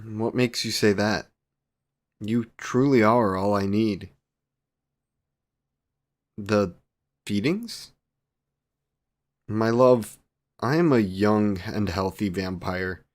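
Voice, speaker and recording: A man's voice: fairly low pitch, slow, pauses often. A man playing a courtly, devoted, youthful vampire boyfriend in a romantic audio roleplay, with archaic, formal wording and tender endearments. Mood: tender, doting. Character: courtly, devoted 128-year-old male vampire boyfriend, youthful, doting.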